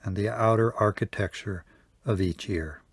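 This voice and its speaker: A man leading a guided yoga nidra meditation, speaking softly and calmly with long pauses. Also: fairly low pitch, husky, no room sound. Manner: slow, soft, calm guiding with long pauses. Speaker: meditation teacher.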